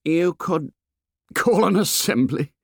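A man voicing an anxious, defensive boy in a story reading, with a shrill, pleading, excitable voice and ungrammatical working-class speech. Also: slow pace, vocal fry, no room sound, clean studio sound, heavily acted. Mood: pleading, uneasy.

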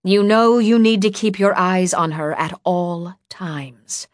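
A woman's voice: on the low side, brisk, breathy edge, no room sound, clean studio sound. A woman voicing a stern, commanding older woman with a severe, clipped manner in an audiobook. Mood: disappointed. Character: stern, furious older woman of authority, imperious and scolding. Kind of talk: audiobook character dialogue.

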